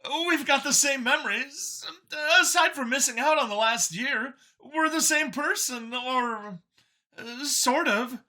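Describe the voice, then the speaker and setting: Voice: high-pitched, slow pace, bright, forceful tone, voice pushed up, full character voice, low-quality recording. A narrator voicing a smug, bigoted android copy of a man in an audiobook, with an eager, boastful, emphatic and sneering delivery. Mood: eager, chatty.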